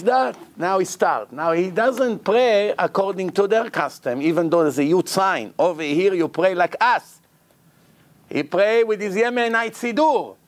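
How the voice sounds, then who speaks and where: A man with an Israeli accent, a rabbi giving a sermon in lively, broken English, acting out the people in his stories. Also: high-pitched. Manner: animated, acts out quotes, non-native English phrasing. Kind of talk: storytelling sermon.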